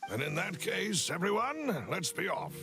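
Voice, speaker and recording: Loyal male retainer, gravelly edge, formal phrasing, expressive pitch, game dialogue, music behind. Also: heavily acted. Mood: courteous, earnest. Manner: formal, measured. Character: courteous, loyal gentleman retainer. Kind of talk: anime game voice acting.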